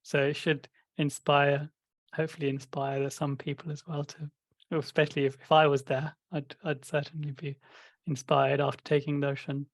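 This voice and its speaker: Higher-voiced man, flat and reflective. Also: moderate pace, no room sound. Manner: thoughtful, explanatory, with frequent fillers. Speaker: podcast co-host. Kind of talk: casual discussion.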